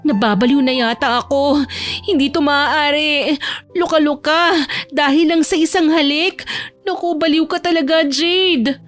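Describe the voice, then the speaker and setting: Lady doing proud stubborn young bride, romance audio drama, highish voice, emphatic, music under it. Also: steady tone, measured pace. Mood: flustered.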